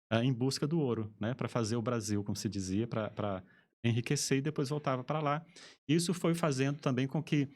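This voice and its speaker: A Brazilian man with a Brazilian Portuguese accent, a linguist guest explaining his field in a conversational interview, tagging sentences with quick checks. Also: mid-range voice, darkish tone. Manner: explanatory, lecturing, frequent "né?" tags.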